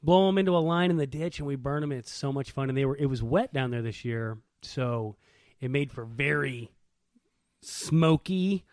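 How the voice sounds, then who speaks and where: A man's voice: medium pitch, moderate pace, darkish tone, no room sound, studio quality. An American man in casual podcast banter, talking in an emphatic, slangy way. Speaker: podcast co-host.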